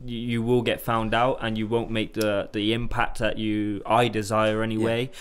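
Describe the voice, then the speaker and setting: A man from the UK hosting a casual interview podcast, affirming his guest with enthusiastic interjections and a relaxed, friendly way of talking. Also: fairly low pitch, normal speed, clean tone. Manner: affirming and enthusiastic, frequent interjections, says "bro". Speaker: podcast host.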